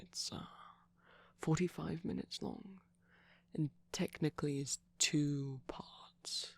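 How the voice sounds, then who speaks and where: Guy doing a soft shy vampire boyfriend for asmr, super creaky n breathy, kinda hesitant cozy rambling. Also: on the high side, full character voice. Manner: hesitant, rambling, soft-spoken with whispery dips. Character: shy, gentle vampire boyfriend, rambling cozily to a sleepy partner. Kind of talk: intimate ASMR roleplay.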